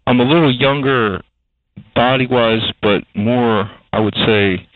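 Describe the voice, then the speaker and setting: A man's voice: mid-range voice, unhurried, no room sound. A man in his sixties from Delaware with a Delaware-Philadelphia accent, giving a rambling interview full of fillers and restarts. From Delaware, USA. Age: 60s. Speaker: guest. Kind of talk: casual interview talk. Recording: tinny phone line.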